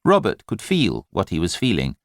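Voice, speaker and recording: A man reading literary fiction as an audiobook narrator, in an expressive, measured storytelling manner. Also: sits low, creaky, dry recording, studio quality.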